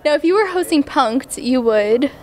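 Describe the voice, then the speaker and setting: A young woman interviewing a guest for a magazine, bubbly and quick to laugh. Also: sits high, expressive. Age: young adult. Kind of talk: upbeat interview host. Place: indoor convention hallway. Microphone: handheld mic.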